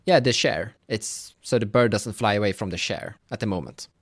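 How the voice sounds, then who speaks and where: A game master improvising narration for a tabletop game in a halting, self-correcting way. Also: mid-range voice, dry recording, studio quality.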